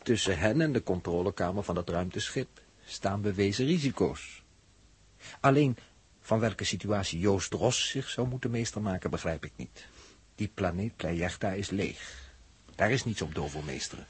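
A sober spaceship crewman, has a lowish and very husky voice. He sounds formal, methodical and sceptical, in the acting style of 1960s radio drama. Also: no room sound. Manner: formal, measured, dry.

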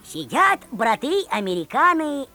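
A woman playing a theatrical folk-tale storyteller, delivering rhymed narration in a drawn-out, exaggerated sing-song. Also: high-pitched, big pitch swings, pushed and bright, faint music behind, full character voice. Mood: playful.

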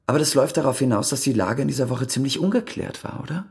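A probing male detective in a radio crime play. His voice is gravelly and strongly rasping, and he puts formal, insistent questions at a quick clip. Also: mid-range voice, expressive, darkish tone. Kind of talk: radio crime drama acting.

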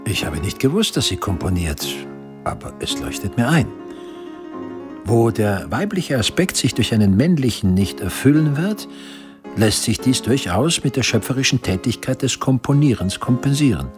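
An actor playing a fervent, pompous eighteenth-century doctor in a German radio drama, lecturing in a grandiose manner. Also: male voice, mid-range voice, big pitch swings, normal speed, close to an everyday voice. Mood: pompous, explaining. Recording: music behind the voice. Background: instrumental music.

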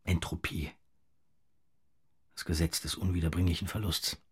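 An actor playing a restless, philosophical young man in an audio drama, with a breathy, husky voice and intimate delivery. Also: low voice, fairly even intonation, moderate pace. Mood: musing.

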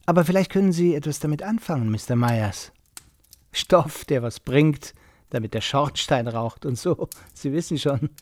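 An actor playing a talkative middle-aged host in a radio play, genial with a needling edge and effusive small talk. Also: male voice, on the high side, normal speed, darkish tone, no room sound, close to an everyday voice. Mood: storytelling. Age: 40s. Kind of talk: naturalistic radio play acting.

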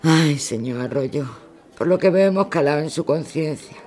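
Woman acting a low-voiced, solemn protest leader. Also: moderate pace, darkish tone, close to an everyday voice. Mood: earnest. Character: weary, grieving leader of women's protest. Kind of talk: radio drama acting.

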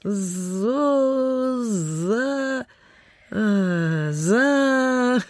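Radio drama: pious, forgetful provincial gentlewoman, high voice, very unhurried, fussy and sighing. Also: expressive, dry recording. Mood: kindly, flustered. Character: kindly, forgetful, pious country landowner lady. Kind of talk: Soviet-style radio theatre acting.

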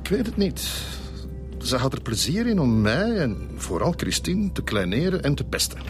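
Male actor as middle-aged Flemish businessman, radio drama, expansive, confiding, swooping intonation, clear background music. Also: medium pitch, big pitch swings, normal speed, natural voice. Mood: wistful, bitter. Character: middle-aged brewery owner, hospitable, frank and embittered. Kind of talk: Dutch-language radio drama acting.

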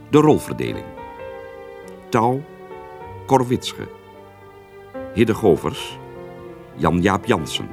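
Deep, slow male radio announcer over music. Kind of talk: formal scripted credits reading.

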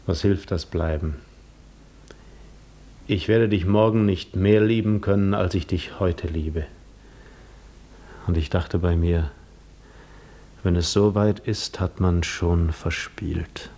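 An actor playing a brooding male wanderer in a 1950s German radio drama, gravely recounting a painful farewell in measured first person. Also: low-pitched, darkish tone, exaggerated voice.

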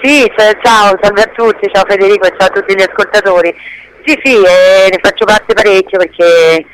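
A woman's voice: mid-range voice, steady tone, normal speed, low-quality recording. A woman speaking as a radio interview guest, explaining conversationally with hesitations and self-corrections. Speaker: interview guest, actress and association president.

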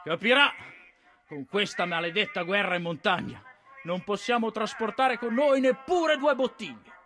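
A man playing a hard-drinking, bombastic army officer, loud and theatrical, in a comic stage drama. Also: high-pitched, moderate pace, music in the background, lightly acted. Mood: excited, bombastic.

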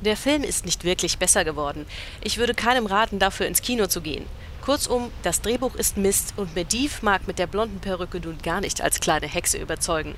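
A dry, unimpressed news reporter, voiced by a woman, briskly delivering reports and questions. Her pitch sits mid-range, and a faint hiss sits under her voice. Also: fairly bright tone, natural voice. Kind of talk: parody audio drama.

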